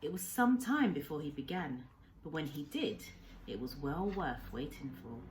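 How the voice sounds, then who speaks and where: Woman teacher reading a kids book out loud, lowish voice, lots of expression. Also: lively intonation, moderate pace, slight background noise, low-quality recording. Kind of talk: children's storybook narration.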